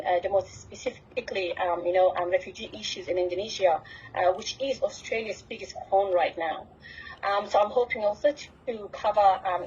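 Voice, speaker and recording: A woman from Afghanistan giving a presentation as a refugee advocate on an online call, speaking haltingly with frequent pauses mid-phrase. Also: medium pitch, darkish tone, slight background noise. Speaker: refugee advocate, network executive director. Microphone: computer on an online call. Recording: muffled lo-fi computer call audio.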